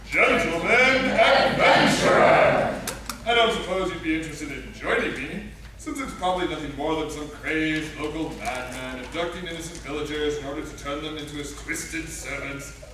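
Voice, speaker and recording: Man playing a pompous, booming gentleman hero in echoey hall. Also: expressive, moderate pace, close to an everyday voice. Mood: grandly boastful. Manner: grandiose, booming declamation. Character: pompous, hearty, self-styled gentleman adventurer hero. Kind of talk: comic radio play acting before a live audience. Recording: echoey hall from far off, faint noise.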